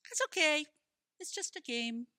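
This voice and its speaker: A woman's voice: high voice, lively intonation, unhurried, bright, forceful tone, dry recording, clean studio sound, heavily acted. An actress in a radio play playing a skeptical, stubborn, gloomy woman who questions and argues. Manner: querying, argumentative.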